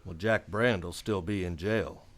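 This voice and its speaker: A man acts a stern lawman in an old-style western radio drama. His American voice is on the low side. He speaks tersely and dryly, with weary authority. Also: a little slow. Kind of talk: old-time western radio play acting.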